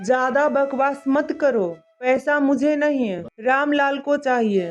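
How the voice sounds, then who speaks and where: A woman playing a domineering, bad-tempered merchant's wife in an animated moral story, scolding and haughty. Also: high voice, a little slow, soft background music. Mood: scolding, bossy.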